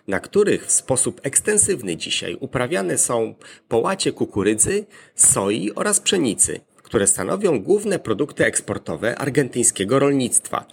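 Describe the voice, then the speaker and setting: A man presenting a scripted explanatory monologue in a measured, formal manner, setting out arguments point by point. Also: medium pitch, gravelly, a little hiss or hum.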